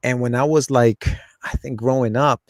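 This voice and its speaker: A man's voice: medium pitch, fairly even intonation, moderate pace, dry recording, studio quality. Rambling man from Ecuador in his forties. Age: 40s. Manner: rambling, frequent restarts and "like". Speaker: guest, comic creator. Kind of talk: casual interview chat.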